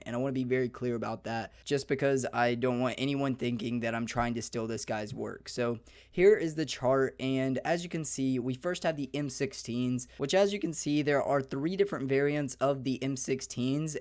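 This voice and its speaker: A young man hosting a gaming channel, slightly hoarse, talking through a beginner's game guide in an upbeat, chatty way with many fillers. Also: medium pitch. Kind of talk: casual gaming guide talk.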